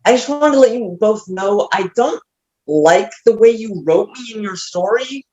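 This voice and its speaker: A woman playing an earnest, adoring male biographer in a staged comedy reading, halting and melodramatic. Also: medium pitch, animated, warm tone, muffled recording, close to an everyday voice. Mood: awkward. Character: rambling, adoring male biographer Mercutio, devoted friend.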